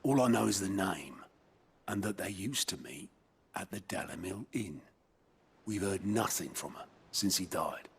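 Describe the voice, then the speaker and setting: An actor playing a gruff, loyal second-in-command in a fantasy game, plain-spoken and very raspy. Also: male voice, sits low, expressive, measured pace, slight background noise, exaggerated voice. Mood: gruff, confiding. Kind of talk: scripted fantasy game dialogue.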